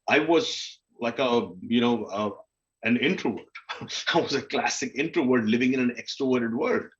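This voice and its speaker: A man talks in an informal, candid way, as a guest on a panel would. His voice sits at a middling pitch and he slips into self-questioning dialogue as he explains himself. The audio is dull and lo-fi. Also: a bit bright. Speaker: guest speaker on a literary festival panel. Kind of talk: candid conversational panel talk about personal experience.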